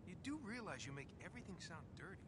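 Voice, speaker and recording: A glib American treasure hunter from an action game. He wisecracks quickly and with lively swings, teasing and reckless, over loud rumbling noise. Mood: teasing, breezy. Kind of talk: video game cutscene acting.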